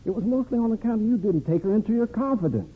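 A gentle, devout servant in an old radio play. His voice is very husky and swoops up and down. He speaks hesitantly and deferentially over a tinny, phone-like broadcast recording. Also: high-pitched, animated, quick pace, full character voice. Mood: gentle, earnest. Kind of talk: 1950s radio drama acting.

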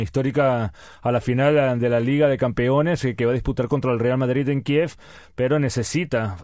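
A man's voice: medium pitch, a little mellow, no room sound, studio quality. A man commentating on football on a talk show, listing stats with many hesitations. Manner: fact-heavy, hesitant fillers. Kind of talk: sports radio talk.

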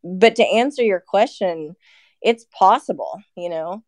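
A woman's voice: mid-range voice, unhurried, a little mellow, no room sound, clean studio sound. A woman in her thirties, a nurse practitioner guest, explaining in casual chat with lots of "you know" fillers. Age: 30s. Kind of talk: casual podcast interview.

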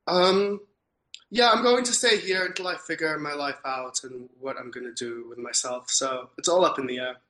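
A man's voice: a little high, moderate pace, pushed and bright, poor audio. A young man from Turkey, a podcast guest, talking thoughtfully and earnestly about his views, with frequent ums and restarts. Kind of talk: casual interview talk.

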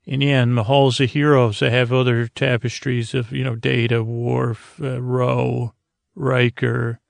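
A man hosting a bedtime podcast in a rambling, halting, goofy monologue, repeating and stammering as he drifts along, with a creaky, gravelly voice. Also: mid-range voice, level tone, no room sound. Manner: goofy, oddball. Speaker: podcast host.

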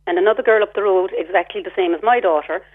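Irish mum ringing in to radio, upset, rattles through the details quick, phone sound. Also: female voice, medium pitch. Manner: aggrieved, detailed, retells her exchanges. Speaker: caller. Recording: phone line.

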